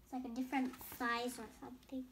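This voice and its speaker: High-pitched young girl reading a storybook. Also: level tone, fast talker, fairly bright tone, a little hiss or hum. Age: child. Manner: stumbles over words and rereads phrases. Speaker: host reading a bedtime story. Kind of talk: read-aloud storybook narration with casual asides.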